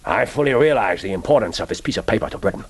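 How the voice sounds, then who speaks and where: Deep, husky Japanese spymaster. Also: male voice, lively intonation. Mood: grave, solemn. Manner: formal, measured, philosophical, prompting with "huh?". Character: courteous, grave middle-aged Japanese male intelligence chief. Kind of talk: radio drama acting.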